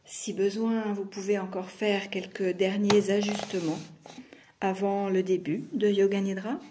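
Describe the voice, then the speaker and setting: A woman leads a guided meditation as a yoga nidra teacher. Her voice is mellow and relaxed, at a middling pitch. She speaks very slowly, in short soothing phrases separated by long pauses. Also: slight background noise.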